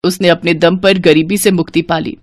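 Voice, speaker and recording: A woman's voice: fairly low pitch, fairly even intonation, moderate pace, dry recording, clean studio sound. A woman storyteller reading scripted narration for a moral story.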